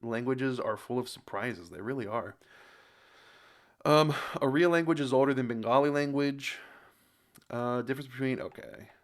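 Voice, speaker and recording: A man with an American accent hosting a reaction video in casual commentary, a bit halting and stumbling over words as he reads aloud. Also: medium pitch. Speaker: host of a reaction channel.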